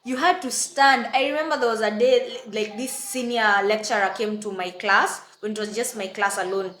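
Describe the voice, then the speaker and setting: A young Kenyan woman with a Kenyan accent telling an animated storytime, exclaiming and acting out what others said. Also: mid-range voice, moderate pace, echoey room. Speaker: vlogger. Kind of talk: casual storytime.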